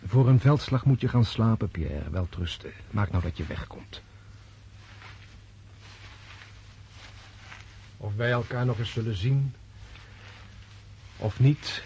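An actor in a Dutch radio play voicing a disillusioned, brooding military officer, intense and bitter, with halting, reflective pauses. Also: male voice, fairly low pitch, lively intonation, mellow, muffled recording, slight background noise. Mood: weary, resigned. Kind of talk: radio drama acting.

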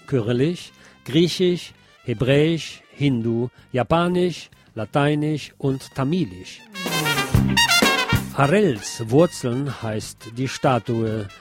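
A man presenting scripted news items in a measured, enumerating way, as a radio presenter. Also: medium pitch, darkish tone.

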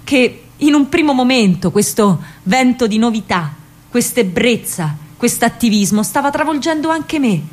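A woman playing a disillusioned, fatherly older Roman man in a radio monologue, speaking gravely and deliberately in a hushed way with a Roman accent. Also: a little high, animated. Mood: bitter, grave.

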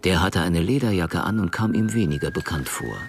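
A man narrating a scripted crime radio play in a measured, composed, literary way. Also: deep voice, lively intonation, background noise.

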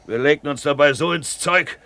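An actor playing a grizzled, blustering grey-haired merchant captain in a radio drama, with a hoarse, salty, emphatic delivery. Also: male voice, on the high side, moderate pace, sharp tone. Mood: storytelling. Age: older. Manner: bawling, emphatic, salty storytelling. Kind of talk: 1970s German radio drama acting.

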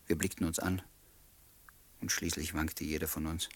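An actor playing a wry, resigned narrator of his own story in a German radio play, husky and measured. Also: very deep voice, flat delivery. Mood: wry, reflective. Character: wry, resigned male first-person narrator.

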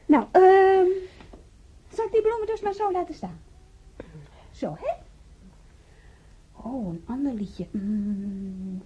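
A woman's voice: high-pitched, very animated, slow pace, pauses often, mellow, dull audio, heavily acted. An actress playing a cheerful, chatty female nurse with a bubbly, sing-song manner in a radio play. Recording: radio play with faint noise.

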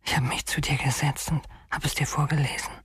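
A man's voice: fairly high pitch, level tone, brisk, fairly bright tone, husky, no room sound, studio quality. An actor playing a deranged, obsessive male killer in his thirties in a German radio crime drama, pleading intimately and often sinking toward a whisper. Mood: tender, obsessed. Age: 30s.

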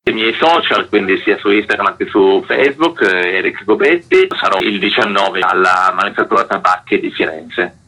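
A man with a deep voice and a bright, forceful edge speaks as an author in a radio interview. He explains things in a measured, articulate manner. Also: expressive, poor audio. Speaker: history author, interview guest.

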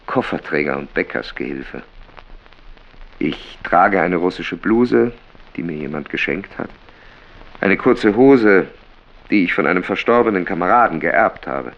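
An actor playing a sober, weary man home from war captivity, in a measured literary radio play monologue. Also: deep voice. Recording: dull sound with clear background noise.

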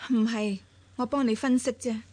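Thoughtful, cautious Hong Kong Cantonese confidante played by a woman for radio drama, higher voice, closely and drily recorded. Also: steady tone, normal speed, a little mellow, no room sound, close to an everyday voice. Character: level-headed, analytical, cautious woman adviser.

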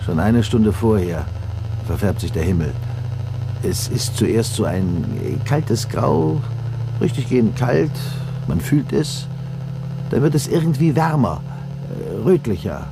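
A man's voice: on the low side, expressive, takes it slowly. An actor playing a weary, nostalgic older man in a dystopian future, hesitant and brooding, in a 1970s German radio play. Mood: wistful. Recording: 1970s radio drama, loud vehicle hum. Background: humming vehicle engine.

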